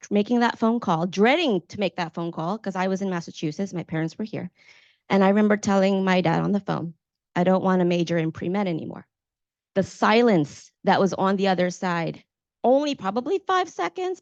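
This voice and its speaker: A woman's voice: mid-range voice, no echo. A woman with an American accent and a mid-range voice speaks conversationally as a moderator. She is earnest and emphatic, in a dry recording that is slightly muffled. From Maryland, United States. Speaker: panel moderator. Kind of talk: conversational personal storytelling to an audience.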